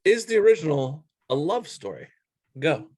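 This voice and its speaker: A man's voice: fairly high pitch, big pitch swings, moderate pace, a little mellow. A man co-hosting a sports show, putting questions to his co-hosts in casual, playfully theatrical banter.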